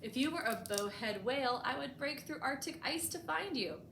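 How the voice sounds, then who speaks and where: A woman reading a picture book aloud for children as a storytime host, expressively and with deliberate emphasis, adding short explanatory asides. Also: high-pitched, lively intonation, a bit bright. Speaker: librarian hosting a bedtime storytime. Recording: echoey room with clear background noise.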